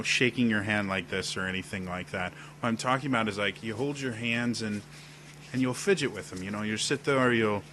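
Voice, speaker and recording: A man with a deep voice giving casual on-camera advice about role-playing, demonstrating gestures as he explains. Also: pushed and bright, a little hiss or hum. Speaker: role-playing tips video host. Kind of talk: casual instructional talk to camera. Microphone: webcam setup at desk.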